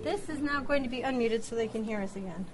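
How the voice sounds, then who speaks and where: A woman's voice: a little high, fast, crowd noise. A woman organizer chatting casually as she checks the sound setup, often repeating short phrases. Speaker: event organizer running the audio. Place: restaurant room at a club luncheon. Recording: very noisy crowded room.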